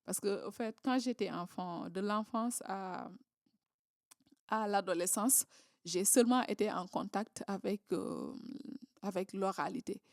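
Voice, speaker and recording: A woman's voice: medium pitch, a little slow, vocal fry, no room sound. Guest: woman from southern senegal, hesitant, talks with lots of fillers and restarts, dry close sound. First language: Creole, Diola and Wolof. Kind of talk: casual interview conversation.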